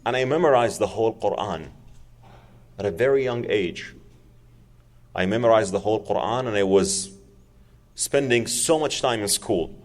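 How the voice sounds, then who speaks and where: A man's voice: fairly low pitch, expressive, moderate pace, pauses often, in a large hall. A man, telling his personal story to an audience in an earnest, emphatic way, repeating words for stress. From Saudi Arabia. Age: 40s. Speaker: public speaker.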